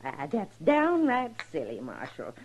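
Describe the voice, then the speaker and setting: A woman's voice: sits low, wildly swooping pitch, a little slow, a little mellow, slightly rough, lo-fi sound, heavily acted. An actress playing a chatty, hospitable old ranch widow and doting mother in a Western radio drama, with a rural Western American accent. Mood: proud, happy. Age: older. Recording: noisy old radio recording.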